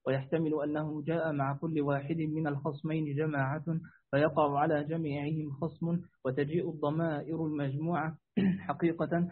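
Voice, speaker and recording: A man reading a classical commentary aloud carefully, with restarts, over a phone on a weak network from a rooftop. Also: fairly high pitch. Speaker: student reading the text aloud. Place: rooftop outdoors. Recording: tinny phone-like line.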